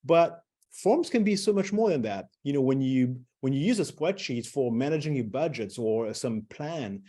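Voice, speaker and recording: Middle-aged male presenter: mellow, relaxed tone, mid pitch, talks loosely with fillers and restarts. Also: moderate pace. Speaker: webinar presenter. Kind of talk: webinar presentation.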